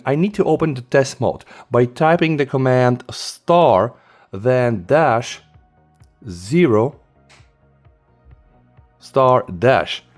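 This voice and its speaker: A man hosts a casual tech tutorial in a mid-pitched voice. He guides the listener step by step in an instructional manner, putting stress on the important points. Music plays faintly behind him. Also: a little mellow. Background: background music.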